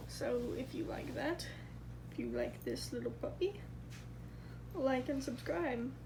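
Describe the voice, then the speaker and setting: A woman's voice: high voice, wildly swooping pitch, slow. A woman vlogging casually from her backyard and home, chatty and giggly, rambling as she goes. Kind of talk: casual vlog chat. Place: backyard outdoors, then indoors. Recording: noisy home video recording.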